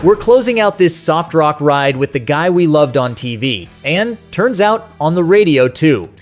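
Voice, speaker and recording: A man's voice: on the high side, expressive, a little slow. A man narrating a scripted music countdown as its host, in a nostalgic, colloquial storytelling manner. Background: soft rock music behind the voice.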